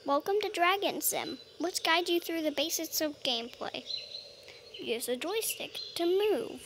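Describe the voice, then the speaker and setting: A woman's voice: very high voice, lively intonation, unhurried, noisy background. A woman playfully role-playing in a video game, musing casually as she plays. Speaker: gamer role-playing as a dragon. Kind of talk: casual gameplay narration with playful role-play. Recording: game music behind the voice.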